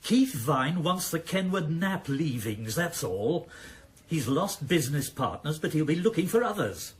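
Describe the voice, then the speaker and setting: An actor playing a principled, anxious police chief in a radio drama, speaking formally and with earnest indignation. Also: male voice, on the high side, sing-song, measured pace. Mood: exasperated. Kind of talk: 1990s radio drama acting.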